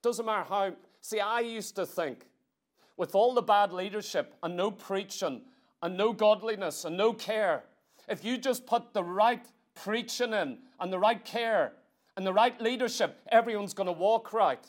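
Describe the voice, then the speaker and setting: A man's voice: sits high, unhurried. A man preaching a church sermon in an emphatic, exhorting manner, leaning on repetition and rhetorical questions.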